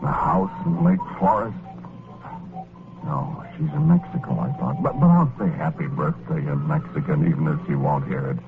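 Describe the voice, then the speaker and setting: A man's voice: a bass voice, expressive, normal speed, creaky, full character voice. An actor playing a gullible, tender-hearted working-class man, narrating an old-time radio drama in plain, slangy, folksy speech. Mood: sheepish, eager. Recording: noisy, tinny vintage radio recording.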